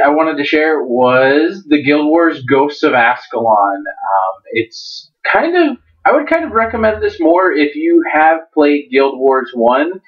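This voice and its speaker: Man giving a halting review, dull lo-fi sound. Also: mid-range voice. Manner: halting, searching for words. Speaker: video host and book reviewer. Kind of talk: casual review talk with dramatic book readings.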